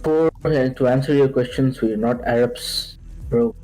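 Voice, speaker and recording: A man's voice: medium pitch, a little slow, mellow, faint music behind, rough recording. A man who is not a native English speaker, co-hosting a live stream, chatting casually and joking with viewers and his co-host. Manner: casual, joking, says bro a lot. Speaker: livestream co-host.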